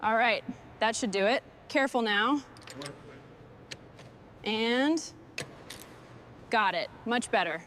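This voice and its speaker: A woman's voice: fairly high pitch, lively intonation, takes it slowly, long pauses, fairly bright tone, a little hiss or hum. A woman muttering to herself and giving brief instructions while doing restoration work, in short bursts of work talk. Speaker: machinery restorer.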